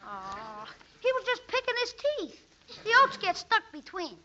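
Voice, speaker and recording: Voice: very high-pitched, wildly swooping pitch, a little slow, sharp tone, heavily acted. An actor playing an eager, cheeky young boy in a 1940s radio comedy. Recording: dull, faintly noisy old radio recording.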